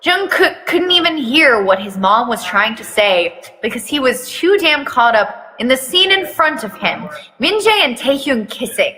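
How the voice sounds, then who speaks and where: A woman reads a story aloud in a theatrical, mocking way. Her voice is fairly high and very bright, and she slips into sarcastic asides. A long reverb trails behind her. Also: very animated, a little slow, hardly any pauses. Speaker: fan fiction reader on a live video. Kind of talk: mocking dramatic reading with asides.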